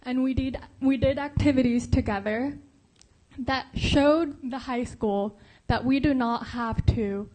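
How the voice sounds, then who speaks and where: Earnest young woman, bright voice. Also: a little high, rough recording. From Philippines. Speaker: pageant contestant. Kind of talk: speech to camera and scripted voice-over.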